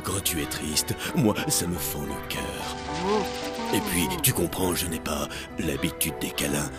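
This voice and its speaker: A man voicing a gloomy but soft-hearted creature in a cartoon. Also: a bass voice, unhurried. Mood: apologetic, tender. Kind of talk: French cartoon voice acting. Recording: loud background music.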